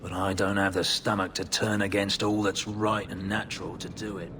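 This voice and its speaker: A man's voice: level tone, moderate pace, close to an everyday voice. A man playing a down-and-out former knight in a fantasy game, plainspoken and deferential. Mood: grudging. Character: down-and-out male former knight seeking reinstatement. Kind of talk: fantasy video game acting. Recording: clear rumbling background noise.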